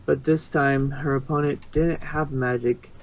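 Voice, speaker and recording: A man's voice: mid-range voice, fairly even intonation, unhurried, a little hiss or hum, rough recording. A man narrating a story in an amateur reading, stumbling now and then and rereading phrases.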